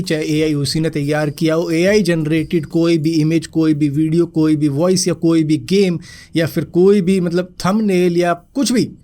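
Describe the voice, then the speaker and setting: A young man with a hoarse throat after a fever, casually rounding up news stories for his viewers. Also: on the high side, a little mellow. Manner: chatty, addresses viewers as friends. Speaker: news vlogger.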